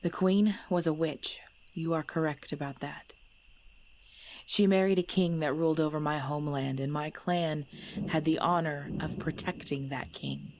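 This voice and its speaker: A woman playing a centuries-old female vampire clan leader in an ASMR audio drama, composed and commanding, speaking in measured, formal phrases. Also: sits low, steady tone, a little mellow. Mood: grave. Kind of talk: ASMR audio roleplay acting. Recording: background noise with chirping crickets.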